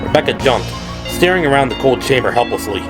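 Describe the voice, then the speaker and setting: Male dramatic narrator over loud music. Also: medium pitch, lively intonation, brisk. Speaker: amateur audio drama narrator.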